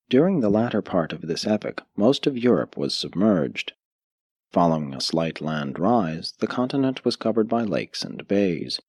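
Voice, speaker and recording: A man reading a book aloud as an audiobook narrator, in a measured, steady way with pauses between listed items. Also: low voice, husky, no echo, clean studio sound.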